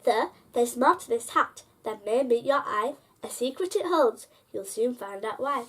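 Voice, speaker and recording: A woman's voice: on the high side, lively intonation, unhurried, husky. A woman hosting a story time, reading a children's picture book aloud and addressing young listeners directly.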